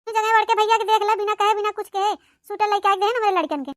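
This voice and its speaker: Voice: very high-pitched, little pitch movement, fast, a bit bright, no echo, clean studio sound. A cartoon voice playing plaintive village women of a squabbling family, with exclamatory chatter in an Awadhi accent from eastern Uttar Pradesh. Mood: complaining. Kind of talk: cartoon comedy dialogue.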